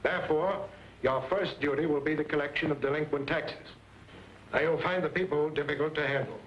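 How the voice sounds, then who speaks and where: Through a dull, faintly noisy vintage soundtrack comes an actor as a principled American army commander. He has a slightly high voice and gives orders in a measured, formal and stern manner, as in a 1940s western. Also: close to an everyday voice. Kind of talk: 1940s western film acting.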